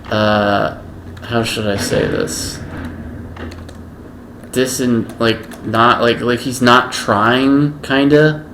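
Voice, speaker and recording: A man giving casual gameplay commentary, slow and halting, with a self-described monotone voice. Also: lowish voice, noisy background. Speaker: gaming video creator.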